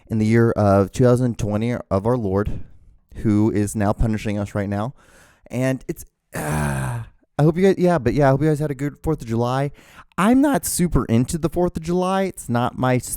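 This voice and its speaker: A man's voice: on the low side, mellow, clean tone, dry recording, studio quality. Ranting, foul-mouthed man hosting a podcast. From Honduras. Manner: profane, ranting, with frequent stammered restarts. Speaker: podcast host. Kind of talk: casual solo podcast monologue.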